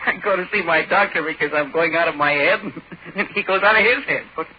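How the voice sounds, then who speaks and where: An actor playing an excitable, nervous middle-aged businessman in 1940s radio drama, stammering and protesting as he talks. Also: on the high side, fast talker, close to an everyday voice. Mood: amused, indignant. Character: excitable bald pot-bellied little businessman, nervous and incredulous. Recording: dull, noisy 1940s radio recording.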